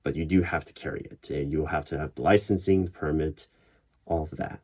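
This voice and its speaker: Man, deep-pitched, husky, ASMR roleplay interviewer, measured and probing, halting pauses. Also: steady tone, darkish tone. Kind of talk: ASMR roleplay, one-sided job interview. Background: computer keyboard typing.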